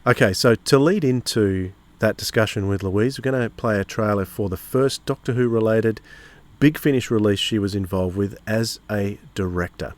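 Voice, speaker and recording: A man's voice: lowish voice, moderate pace, a little mellow, no echo. A man with an Australian accent presenting a podcast in a conversational introductory style. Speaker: podcast host.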